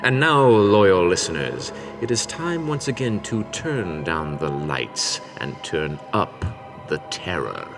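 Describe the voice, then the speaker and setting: Radio horror announcer played by a man: low, portentous, swelling and dipping dramatically, with clear music behind. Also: very animated, slow pace, exaggerated voice. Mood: ominous, grandiose. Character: ominous, grandiose male horror show announcer. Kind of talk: old-time radio horror introduction narration.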